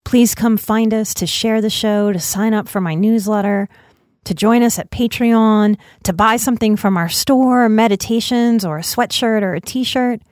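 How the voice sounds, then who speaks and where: A woman podcast host reading aloud in an expressive storytelling style. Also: a little high, normal speed, no room sound, clean studio sound.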